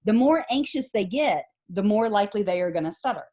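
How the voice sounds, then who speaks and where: A woman's voice: medium pitch, animated, brisk, mellow. A middle-aged woman teaching a psychology class over a video call, talking in a conversational way and checking that students follow. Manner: conversational, explains with examples and rhetorical questions. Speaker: psychology professor. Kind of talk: online lecture.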